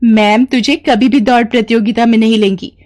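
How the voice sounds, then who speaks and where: Woman voicing a high, taunting schoolgirl bully. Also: steady tone, brisk, a little mellow, lightly acted. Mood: mocking. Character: mocking young schoolgirl bully.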